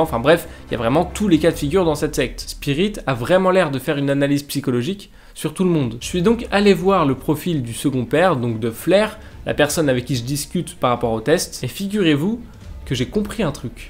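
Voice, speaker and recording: Young man, French accent, chatty storytelling, slightly high voice, faint music underneath. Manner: casual, chatty, addresses viewers directly. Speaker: YouTuber narrating a story. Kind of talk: storytelling vlog narration. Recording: faint music bed behind voice.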